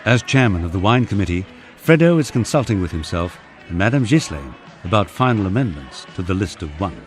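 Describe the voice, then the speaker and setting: Low-voiced man narrating a wry memoir. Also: very animated, a little fast, soft background music. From England. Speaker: audiobook narrator. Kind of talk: first-person memoir narration.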